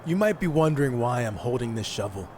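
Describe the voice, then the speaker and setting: An actor playing a defiant, cocky wrestling hero bent on revenge, giving a taunting, confident promo in a video game cutscene. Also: male voice, mid-range voice, big pitch swings, fairly quick, darkish tone, slight background noise, natural voice.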